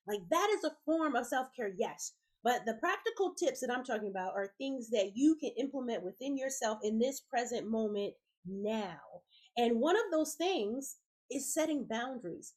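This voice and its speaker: A middle-aged woman hosting a self-care podcast, sounding raspy and congested from a head cold, speaking in an encouraging way straight to her listeners. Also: fairly high pitch. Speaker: podcast host. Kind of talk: motivational self-care talk.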